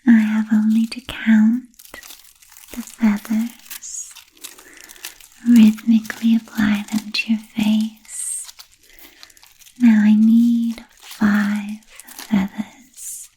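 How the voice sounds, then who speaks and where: A woman voicing a vain, seductive female vampire in a gentle, coaxing, breathy whisper for an ASMR roleplay. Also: fairly high pitch, completely flat delivery, exaggerated voice, low-quality recording. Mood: coaxing, playfully puzzled. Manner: slow, gentle, coaxing, with lingering pauses.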